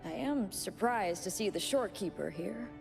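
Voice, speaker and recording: A woman voicing a solemn video game character who speaks softly and gravely about sacrifice, in measured phrases. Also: medium pitch, lively intonation. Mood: grave, reporting. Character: solemn woman recounting a fallen comrade's sacrifice. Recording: game music behind the voice. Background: game music.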